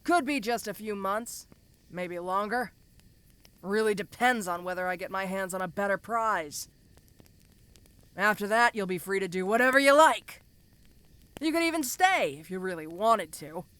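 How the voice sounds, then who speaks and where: A woman's voice: mid-range voice, animated, moderate pace, long pauses, a bit bright, clean tone, natural voice. A woman voicing a domineering giant ogre mercenary in an audio roleplay, speaking to the listener in a casual, commanding, teasing way. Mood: reassuring, teasing. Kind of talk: first-person audio roleplay addressed to the listener.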